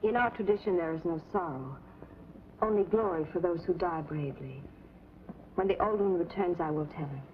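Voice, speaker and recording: An actress playing a proud, fiercely defiant daughter in a vintage television drama, solemn and formal. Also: female voice, fairly low pitch, normal speed, natural voice. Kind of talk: 1950s television drama acting. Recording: dull, hissy vintage TV soundtrack.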